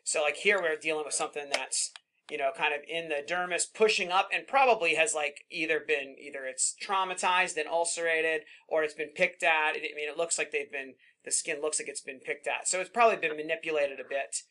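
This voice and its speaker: Bright-voiced man teaching pathology slides. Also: a little high, fairly even intonation, a little fast. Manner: conversational, reassuring, many fillers like "kind of" and "right?". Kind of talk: informal teaching at a slide session.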